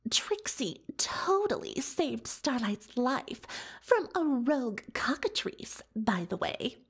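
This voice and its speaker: A vain, melodramatic showgirl unicorn from a cartoon world, spins her story as ASMR roleplay. Her high voice swoops widely and lingers over words at a slow pace. She is haughty and boastful, with conspiratorial asides. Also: sing-song, husky, exaggerated voice. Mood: boastful, confiding. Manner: haughty, theatrical, third-person self-reference, conspiratorial asides. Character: boastful, self-dramatising female unicorn pony showgirl magician.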